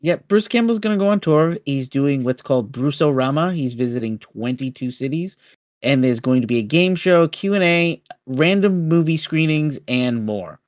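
A man co-hosting a podcast in casual chat, halting and repeating words as he starts his sentences. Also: a little high, lively intonation, dry recording, clean studio sound. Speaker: podcast co-host.

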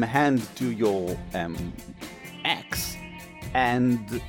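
A man with an Israeli accent explaining and narrating as game master in a halting, casual, enthusiastic way. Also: on the high side. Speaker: game master, game designer and writer.

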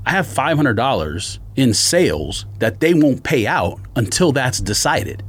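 A man's voice: medium pitch, very animated, measured pace. A man telling a story as a casual podcast rant, slangy and exasperated, acting out both sides of exchanges. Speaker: sneaker podcast co-host.

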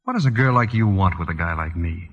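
A man's voice: low voice, lively intonation, fast. An actor playing a blunt, proud working-class young man in an old radio drama, curt, guarded and sardonic. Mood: guarded, bitter. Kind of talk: 1940s-50s radio drama acting. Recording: dull old radio broadcast with music.

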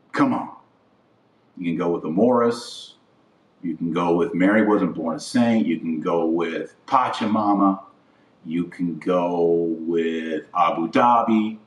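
A man hosting a religious podcast, delivering an impassioned opinion monologue in a blunt, emphatic, repetitive way. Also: low voice. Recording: roomy echo, distant from mic.

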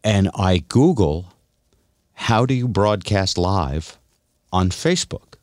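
A man's voice: low voice, lively intonation, slow pace, darkish tone, no room sound, studio quality. A middle-aged or older man hosting a casual comedic podcast, telling stories in a teasing, self-deprecating way. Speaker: radio show host.

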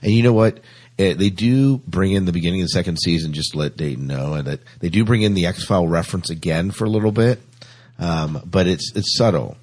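A man's voice: deep voice, a little fast, a little mellow, slightly rough. A man hosting a podcast in casual, agreeable conversation. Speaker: podcast host. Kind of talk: casual chat.

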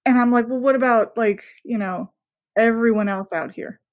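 A woman speaking casually as an interview guest, telling things in an animated, bookish and enthusiastic way. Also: a little high, normal speed. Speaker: podcast guest, author.

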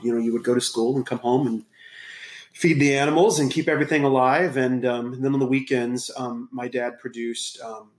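A man from Texas, a podcast guest, telling his life story in a relaxed, casual way with many pauses and ums. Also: medium pitch, echoey room. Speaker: podcast guest, gym owner. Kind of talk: casual interview talk.

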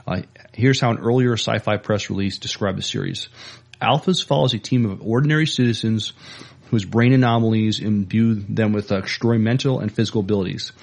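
A man's voice: lowish voice, creaky, a little mellow. A man co-hosting a podcast, reading entertainment news and chatting in a hesitant, agreeable way. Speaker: podcast co-host.

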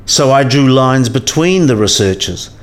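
A man playing a cynical, sidelined professor in a radio play, explaining things in a wry, didactic way with measured phrasing. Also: mid-range voice, animated, close to an everyday voice. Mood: matter-of-fact. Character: cynical, sidelined male professor giving career advice.

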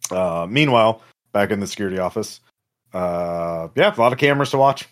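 Game master, male, low voice, improvising narration for a tabletop roleplaying session. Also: lively intonation, a little fast.

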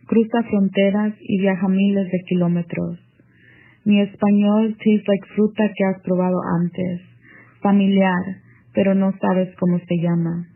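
A woman reciting a poem slowly, switching between Spanish and English. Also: mid-range voice. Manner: slow, measured poetic recitation mixing Spanish and English. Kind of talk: reading a poem aloud. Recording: tinny phone-like line.

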